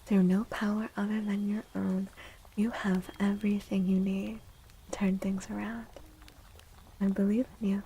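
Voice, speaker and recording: A soft-spoken woman hosting an ASMR livestream, gentle and unhurried, with a breathy, often whispered voice. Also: mid-range voice, level tone, a little hiss or hum, poor audio. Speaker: livestream host and tarot reader.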